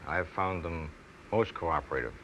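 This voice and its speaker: Middle-aged male ex-pilot, extremely low voice with a sharp edge, formal and halting in interview. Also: low-quality recording. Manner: halting, formal, careful wording. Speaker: former airline pilot. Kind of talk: measured interview. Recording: faint noise, 1970s archive TV film.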